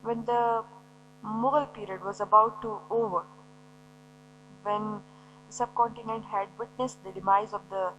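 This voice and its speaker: A woman's voice: medium pitch, fairly even intonation, measured pace, long pauses, fairly bright tone, dull audio, faint music behind. A woman teacher giving a lecture, explaining step by step and asking and answering her own questions. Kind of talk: recorded classroom lecture.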